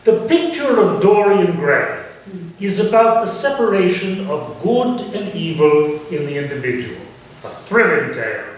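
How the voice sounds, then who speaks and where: Guy playing a posh-mannered victorian sir, intrigued and dramatic, swoopy highish voice, staged reading, far from mic with big echo. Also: sing-song. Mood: enthralled. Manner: deliberate, dramatic, cultivated phrasing. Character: Sir Walter, urbane Victorian gentleman, theatrical, eagerly intrigued. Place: echoey room or small theatre, staged reading. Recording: very echoey, distant stage recording, faint noise.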